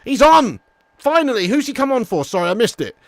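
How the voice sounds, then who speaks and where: A man's voice: very high voice, very animated, fairly quick, dry recording, clean studio sound. A man hosting a football watch-along livestream, chatting and commentating casually in a sweary, matey, bantering way. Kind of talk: casual reactive match commentary and chat.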